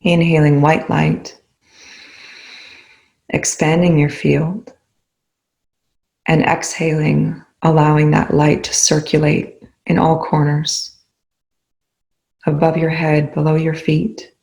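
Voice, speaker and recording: Low-pitched, even-toned woman guiding meditation. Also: gravelly, rough recording. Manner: slow, measured phrases with pauses. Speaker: meditation guide. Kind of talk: guided meditation.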